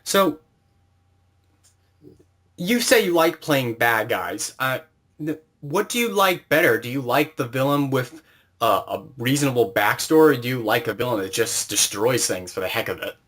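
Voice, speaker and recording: A man's voice: mid-range voice, lively intonation, normal speed, fairly bright tone. A man conducting a casual interview, speaking conversationally as the interviewer.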